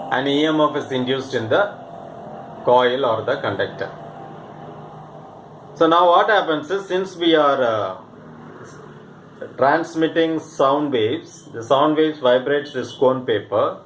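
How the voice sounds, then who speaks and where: Male science instructor, unhurried and halting step-by-step explainer, repeats himself; reverberant room, slight background noise. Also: mid-range voice. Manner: halting, pausing, repeats phrases. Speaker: physics teacher. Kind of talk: explanatory demonstration lesson.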